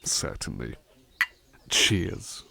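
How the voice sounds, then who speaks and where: A man playing a devoted, polite butler in an ASMR roleplay, speaking tenderly and hesitantly, with soft reassurance. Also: extremely slow, heavily acted. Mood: attentive, courteous. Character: devoted, gentle, polite male butler companion.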